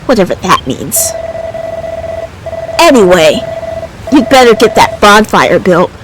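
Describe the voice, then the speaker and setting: A woman's voice: mid-range voice, big pitch swings, slow, slight background noise, low-quality recording. A woman voicing a brash, blunt adventure game companion in a casual, bossy, teasing manner. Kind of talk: video game character voicing.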